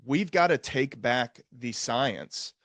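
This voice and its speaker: A man speaking as a podcast host in an earnest, conversational way, deliberate and emphatic. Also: mid-range voice, expressive, a bit bright, no room sound.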